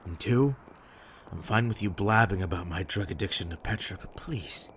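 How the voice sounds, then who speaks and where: Guy playing tired surly ex-junkie in zombie drama, lowish voice, swears a lot, slight noise. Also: moderate pace, fairly bright tone. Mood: exasperated. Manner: gruff, exasperated, swearing. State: weak, worn out. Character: weary, surly ex-addict man surviving zombie apocalypse. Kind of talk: audio drama acting.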